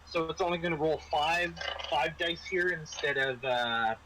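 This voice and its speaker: A man improvising as game master, narrating and explaining rules in a halting, rephrasing way. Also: on the high side, background noise.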